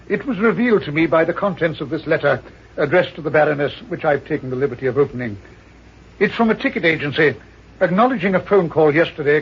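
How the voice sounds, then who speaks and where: An actor playing a shrewd, haughty doctor detective in an old-time radio mystery, speaking pedantically with hesitant pauses. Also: male voice, on the high side, husky. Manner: pompous, pedantic, hesitant "uh" mid-sentence. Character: shrewd, haughty male doctor sleuth, coolly condescending. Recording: dull, muffled old radio recording.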